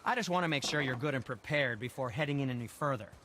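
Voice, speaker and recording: A man's voice: mid-range voice, fast talker, pushed and bright, slight background noise, lightly acted. An actor voicing a chatty, polite dwarf craftsman with dry understatement in a video game. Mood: dry caution. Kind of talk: video game dramatic acting.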